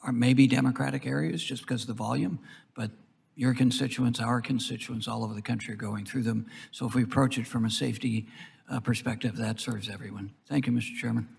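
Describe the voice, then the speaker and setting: A very raspy, creaky-voiced American man questions witnesses as a congressman. He speaks in halting, looping phrases. The sound rings with the long reverb of a hearing room. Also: mid-range voice, steady tone, darkish tone. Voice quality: husky, gravelly. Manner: halting, circling, with broken-off phrases. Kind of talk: rambling committee questioning. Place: large indoor committee hearing room.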